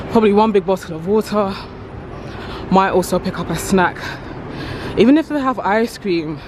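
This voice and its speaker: Chatty woman vlogging with street noise. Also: mid-range voice. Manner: chatty, addresses viewers as "y'all". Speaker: travel vlogger. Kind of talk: casual vlog talk to camera. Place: street and shops of a city, in and out of doors.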